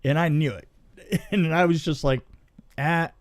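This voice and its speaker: A man's voice: fairly high pitch, fairly even intonation, a little slow, dry recording, studio quality. A man casually telling anecdotes from film and TV work as a guest, chatty and given to acting out remarks.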